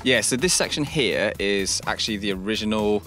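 A man with a low voice explains his homemade climbing setup in a cramped wooden cellar. He talks casually, speeding up when he gets excited. Faint music plays underneath. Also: a little fast. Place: cramped wood-lined cellar indoors.

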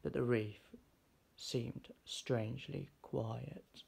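A man reading a children's picture book aloud in an expressive storytelling style. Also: medium pitch, very slow, gravelly, breathy edge. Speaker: storybook reader.

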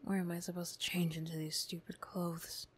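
A woman's voice: fairly low pitch, flat delivery, normal speed, slightly rough. A woman voicing a defiant, guilt-ridden young female bully in an emotional ASMR roleplay, blunt and defensive. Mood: hushed, bitter.

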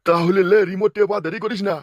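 A man's voice: high voice, fairly even intonation, very fast, lo-fi sound, slight background noise, lightly acted. A man voicing a brash, crude, bossy cartoon schemer. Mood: impatient, gloating. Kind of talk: comic cartoon dubbing.

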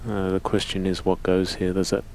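Low, flat-voiced male commentator. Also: a little fast. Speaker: snooker co-commentator. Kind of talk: live stream commentary. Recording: noisy live stream audio.

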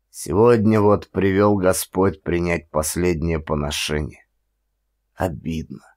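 An old former policeman, acted by a male audiobook performer, spins his stories in a low voice at a very slow pace. He is theatrical and folksy, proud of his past and aggrieved about his fall. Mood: wistful, aggrieved. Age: older. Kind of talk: dramatic audiobook acting.

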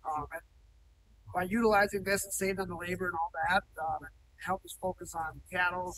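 A man's voice: high voice, flat delivery, a little fast, fairly bright tone, recorded from a distance, a little hiss or hum, poor audio. A man in his thirties, a cattle farmer talking plainly in an on-site interview in a large barn, with frequent ums and restarts. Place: indoor cattle barn, large, open-sided.

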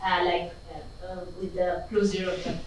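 A woman from Bangladesh, speaking up from the audience, halting and leaning on "like". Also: lowish voice, flat delivery. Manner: halting, frequent "like". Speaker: audience member. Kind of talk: question-and-answer comment. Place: community conference room. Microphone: far camera. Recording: echoey room from far off, faint hiss.

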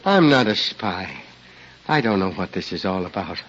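Bewildered old man, swooping pitch. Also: sing-song, moderate pace, fairly bright tone, full character voice. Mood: distressed, bewildered. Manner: hesitant, befuddled, exclaiming. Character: bewildered, meek old village man, freshly woken. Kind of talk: 1940s radio drama acting. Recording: noisy, muffled 1940s radio recording.

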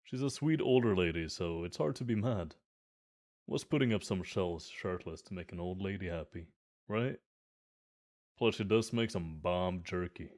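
A man's voice: low voice, moderate pace, a little mellow, lightly acted. A man playing a friendly, eager gym bro in an ASMR roleplay, chatty and earnest as he explains the equipment.

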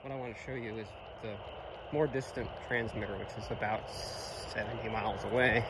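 A man's voice: lowish voice, steady tone, normal speed. A man with an American accent casually demonstrating gear as a presenter, rambling and correcting himself, recorded outdoors on a phone. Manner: hesitant, rambling, self-correcting. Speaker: radio hobbyist presenter. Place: outdoors on a roadside sidewalk. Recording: noisy outdoor recording with traffic.

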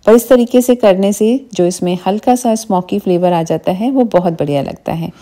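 A middle-aged woman hosting a cooking show from her home kitchen, calmly explaining each step of a recipe and often inviting the viewer to look. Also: mid-range voice, normal speed. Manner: calm, patient explaining with frequent "dekhiye" prompts. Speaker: cooking channel host.

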